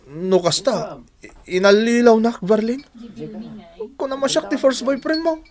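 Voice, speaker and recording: Man acting excitable young Ilocano bachelor, swooping voice. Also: extremely high pitch, unhurried, a crowd in the background, heavily acted. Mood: shocked. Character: excitable, easily disappointed young bachelor son. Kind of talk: Ilocano radio drama acting.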